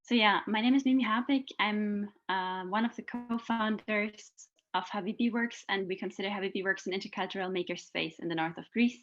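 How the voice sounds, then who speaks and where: A woman panel guest introducing herself politely and quickly over a video call. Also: medium pitch. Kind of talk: online panel discussion. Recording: dull lo-fi video call audio.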